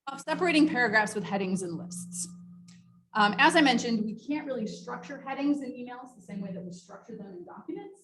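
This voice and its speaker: A woman's voice: on the high side, expressive, moderate pace, pauses often, a little hiss or hum, rough recording. A woman presenting a training session in an explanatory, informal way, part prepared and part off the cuff. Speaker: workshop presenter. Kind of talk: semi-scripted training presentation with informal asides.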